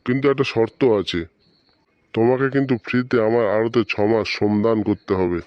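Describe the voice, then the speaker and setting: A man acts out a middle-aged fish merchant in a cartoon comedy, a shrewd father-in-law with a mid-pitched voice. He talks firmly, all business. The sound is very dry and a little muffled. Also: brisk, clean tone, no room sound, close to an everyday voice. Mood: shrewd, measured. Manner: firm, businesslike.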